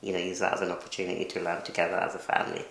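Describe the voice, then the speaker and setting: A man with a very low voice and a gravelly, fry-heavy edge talks easily through viewer questions. His delivery is relaxed and conversational, full of fillers. The room has an echo. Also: rapid-fire, a little hiss or hum. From Kenya. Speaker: co-host. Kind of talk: casual chat answering viewer questions.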